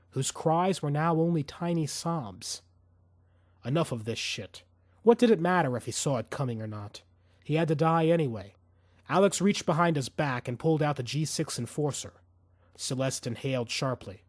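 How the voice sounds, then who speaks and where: Male audiobook narrator, dramatic, suspenseful, highly varied intonation, dry studio-like sound. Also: no echo. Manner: intense, suspenseful storytelling. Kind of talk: dramatic scripted fiction narration.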